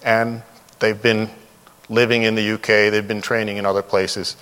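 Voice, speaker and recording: A man's voice: on the low side, little pitch movement, normal speed, a bit bright, clear, resonant tone. A man speaks as a presenter at a conference, his voice ringing through a reverberant hall. He is informal and candid, talking conversationally and freely offering opinions. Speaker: conference speaker, developer trainer and consultant. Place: large indoor presentation room.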